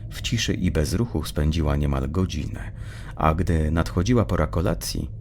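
A man narrating dark fiction from a script in a measured, suspenseful, dramatic storyteller's manner. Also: rumbling low, a little hiss or hum.

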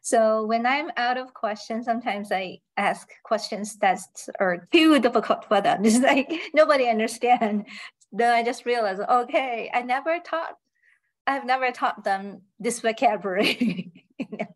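A woman's voice: on the high side, a little slow. A woman whose first language is Chinese, a teacher chatting casually in an online discussion. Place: indoors, on a video call.

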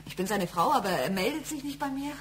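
A woman's voice: fairly high pitch, lively intonation, fast, fairly bright tone. An actress playing a protective, aggrieved wife and mother in a German radio crime drama, over some hum. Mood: hurt, accusing.

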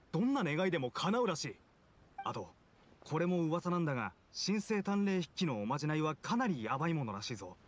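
A man playing a knowing young townsman in a video game, passing on rumours in a casual, confiding, explanatory way. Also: a little high. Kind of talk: scripted video game voice acting.

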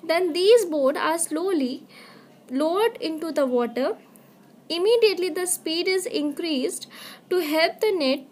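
A woman teaching an online class over slides, explaining step by step with halting restarts. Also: extremely high pitch. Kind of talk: slide-based lecture.

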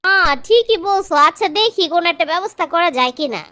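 Cartoon gossip pal woman actor: squeaky high, close and dry, Bangladeshi accent, eager and pushy. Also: expressive, quick pace, pushed and bright, dry recording, exaggerated voice. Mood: knowing. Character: excitable, bossy young female gossip, best friend. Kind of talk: comic cartoon dubbing.

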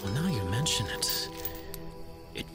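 A man's voice: mid-range voice, animated, unhurried, close to an everyday voice. A man acting an earnest fantasy adventurer in an audio drama, with music behind him. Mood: stunned, urgent. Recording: loud music under voice.

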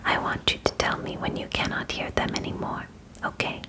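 A woman in her twenties playing a calm, clinical alien examiner in an ASMR roleplay, whispering formally and reassuringly close to the mic. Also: brisk, lightly acted. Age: 20s. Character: calm, methodical female alien examiner inspecting a human. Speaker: ASMR performer. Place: bedroom studio, indoors. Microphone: close ASMR microphone.

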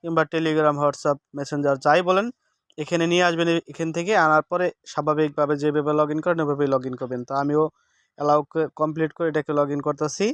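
A man talks through a casual how-to in a fairly high, very smooth voice. He is instructive and often repeats each step. The sound is dry and close, with little room echo. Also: flat delivery, a little fast. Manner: instructive, repeats steps and seeks confirmation. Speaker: tech tips host. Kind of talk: casual screen-recording tutorial.

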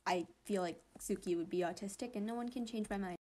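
A woman's voice: mid-range voice, brisk, darkish tone. A young woman casually narrating and commenting on fan comics in a gushing, giggly, informal way.

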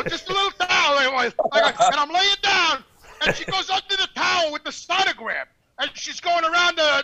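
High, bright excitable man on headset. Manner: excitable, laughing, swearing, loud when worked up. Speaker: regular panelist. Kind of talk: casual podcast storytelling. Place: home office. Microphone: headset mic on video call.

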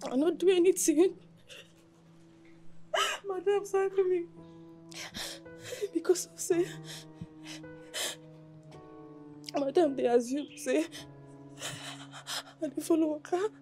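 A woman's voice: very high-pitched, slow, pauses often, mellow, faint music behind. A woman with a Nigerian Pidgin accent playing a young house cook who stammers and pleads her innocence. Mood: sobbing. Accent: Nigerian Pidgin English.

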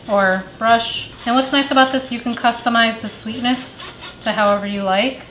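A woman calmly explaining a dessert recipe step by step in a casual home cooking video. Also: mid-range voice, a little hiss or hum. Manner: calm step-by-step explaining with fillers. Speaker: home cook guest. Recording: echoey room, faint music.

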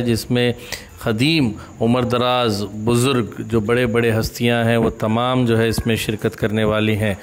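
Flat-toned male news announcer. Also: medium pitch. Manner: formal, announcer-like. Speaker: news presenter. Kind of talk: scripted news narration for an online channel. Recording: noticeable background noise.

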